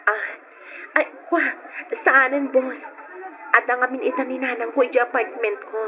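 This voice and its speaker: A woman's voice: sits high, steady tone, moderate pace, bright, forceful tone. A woman with a Filipino Ilocano accent playing an evasive, coy married young woman in an old-time radio drama, hesitating and stammering. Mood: evasive, flustered. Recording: dull, noisy old radio recording.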